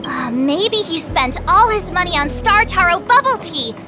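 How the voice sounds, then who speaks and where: A woman voicing an excitable, theatrical young girl detective in a video game, playful and dramatic. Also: extremely high pitch, normal speed, music in the background. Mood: suspicious, indignant. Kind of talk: animated video game voice acting.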